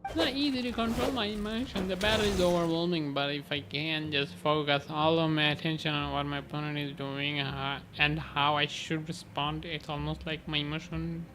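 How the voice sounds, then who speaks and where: A woman streaming a game, talking casually and reacting out loud. Game music plays clearly behind her voice. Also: low-pitched, little pitch movement, moderate pace, hardly any pauses, rough recording. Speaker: gaming streamer.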